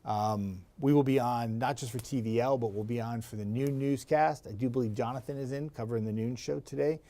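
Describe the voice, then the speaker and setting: A man with a raspy voice, a TV meteorologist chatting casually and rambling to viewers. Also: mid-range voice, a little fast, dark tone. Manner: chatty, rambling, laughs easily.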